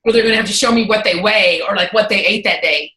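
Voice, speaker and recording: A woman's voice: medium pitch, quick pace, echoey room. A woman hosting a casual chat, talking candidly and acting out little quoted thoughts as she goes. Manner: candid, animated, talks through asides and quoted thoughts. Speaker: host.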